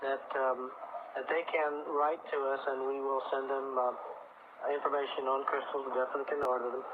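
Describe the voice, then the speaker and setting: A man's voice: mid-range voice, level tone, a little fast. Man on tinny, very noisy old radio. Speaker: crystal expert, radio guest. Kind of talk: casual explaining on a call-in radio show. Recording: tinny, very noisy 1980s radio broadcast tape.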